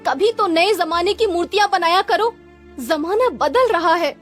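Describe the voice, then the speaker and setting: A woman's voice: very high voice, measured pace, soft background music, voice pushed up. A woman voicing a poor sculptor's weary, scolding wife in a cartoon story, complaining and reproachful.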